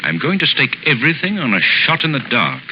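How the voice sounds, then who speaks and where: Casting: a crisp, determined gentleman sleuth for a muffled, noisy 1930s radio adventure serial. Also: mid-range voice, wildly swooping pitch, a little fast. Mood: determined, confiding. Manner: crisp, earnest, assured. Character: resolute gentleman sleuth, calm adventurer and pilot. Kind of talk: 1930s radio drama acting.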